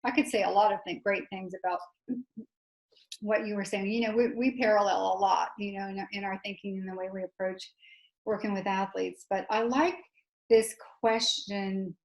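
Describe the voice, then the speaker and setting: A woman's voice: mid-range voice, steady tone, moderate pace, echoey room, low-quality recording. A woman hosting a webinar discussion, rambling with many restarts. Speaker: webinar host. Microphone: video call.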